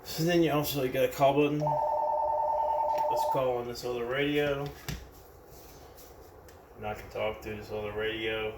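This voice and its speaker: Gravelly American man in a roomy recording. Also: medium pitch, steady tone, normal speed, long pauses. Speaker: product reviewer. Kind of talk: casual hands-on product demonstration. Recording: roomy, distant mic with background noise.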